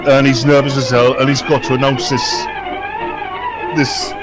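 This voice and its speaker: A man's voice: mid-range voice, wildly swooping pitch, normal speed, loud music behind the voice. A man chatting casually and cracking teasing, bawdy jokes as commentary over an old radio show.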